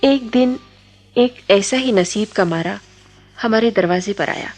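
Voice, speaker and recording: An actress playing a gentle young college woman in a radio play, measured and softly spoken. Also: medium pitch, faint music behind, natural voice. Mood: wistful, reflective. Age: young adult. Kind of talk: radio play acting with first-person narration.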